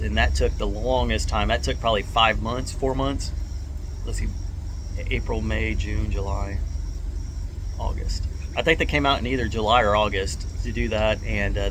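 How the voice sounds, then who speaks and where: A man from South Texas, chatting casually in a vlog, congested and slow with lots of "uh" fillers. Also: medium pitch, slight background noise, poor audio. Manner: hesitant, many "uh" fillers, relaxed drawl. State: congested with a lingering cough. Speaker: vlogger. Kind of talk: casual rambling vlog chat.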